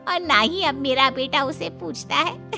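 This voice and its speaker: A woman's voice: high-pitched, unhurried, vocal fry, pushed and bright, faint music behind, full character voice. A woman playing a spiteful, scheming friend of a mother-in-law in a Hindi cartoon story, gloating and exaggerated.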